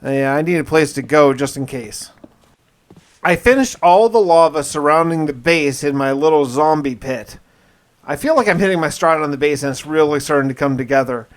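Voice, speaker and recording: A man with a slightly high voice narrates a gaming video in the first person. His delivery is casual and conversational, as if thinking out loud while recapping his play. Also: normal speed.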